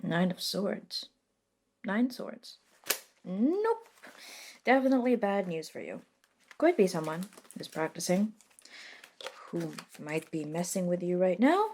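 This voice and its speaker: A woman playing a reluctant, sardonic modern witch in a soft-spoken ASMR roleplay, talking casually and hesitantly with dry wit and mild swearing. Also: on the low side, lively intonation, takes it slowly, long pauses, a little hiss or hum. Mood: dry, concerned.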